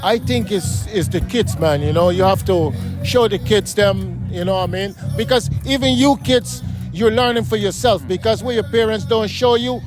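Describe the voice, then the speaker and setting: A man's voice: high voice, normal speed, hardly any pauses, over music. Guyanese man, emphatic street talk. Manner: emphatic, repetitive, keeps asking "you know what I mean?". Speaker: interviewee. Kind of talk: street interview, casual talk. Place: outdoors on a city street.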